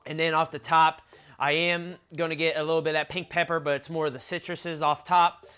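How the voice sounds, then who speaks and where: A man's voice: fairly high pitch, fairly quick, smooth, soft background music. A young man in his twenties with a stuffy, nasal voice, chatting casually through a fragrance review. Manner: chatty, conversational, lists notes in a relaxed flow. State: nasal from allergies.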